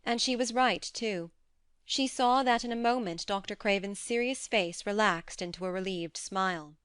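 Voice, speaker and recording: A woman's voice: medium pitch, moderate pace, fairly bright tone, clean tone, dry recording, studio quality. A woman reading scripted audiobook narration as the narrator of a classic story.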